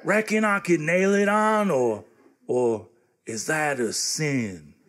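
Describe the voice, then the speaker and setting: A man's voice: a little high, takes it slowly, fairly bright tone. An actor playing a naive, earnest teenage handyman with a hesitant, guileless manner in comic radio theatre. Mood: puzzled, sheepish. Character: dim, earnest, naive eighteen-year-old small-town handyman lad.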